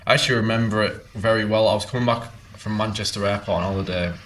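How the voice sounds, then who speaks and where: A man's voice: on the low side, fast, slight background noise. Man chatting in a pub. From Teesside, North East England. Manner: relaxed and rambling, with frequent ums and likes. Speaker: guest singer-songwriter. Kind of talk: casual pub chat.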